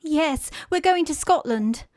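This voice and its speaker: A woman's voice: high-pitched, expressive, takes it slowly, darkish tone, dry recording, studio quality. A woman with a British accent playing a sweet young Victorian girl in love in a radio farce, imploring and effusive. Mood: pleading. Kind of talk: Victorian stage farce, radio play acting.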